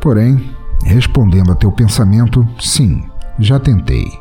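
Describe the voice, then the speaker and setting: Male podcast narrator, low and mellow, brooding, expressive reading. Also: measured pace, soft background music. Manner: brooding, bitter, intimate. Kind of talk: dramatic first-person story narration.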